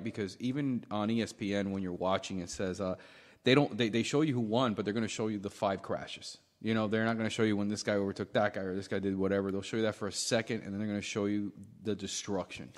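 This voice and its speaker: An American man co-hosting a podcast, giving blunt opinions in casual, halting banter. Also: on the low side. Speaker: podcast co-host. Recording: echoey room with long reverb.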